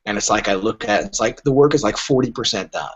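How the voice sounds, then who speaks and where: A man's voice: on the low side, quick pace, slight background noise. A middle-aged or older man answering interview questions as a literary agent, speaking frankly and emphatically and acting out imagined dialogue. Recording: dull lo-fi podcast audio.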